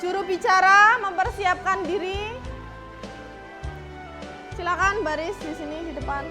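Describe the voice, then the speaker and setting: A woman's voice: very high voice, measured pace, music in the background. A woman working as a primary school teacher, giving classroom instructions and prompting pupils with repeated questions and directions.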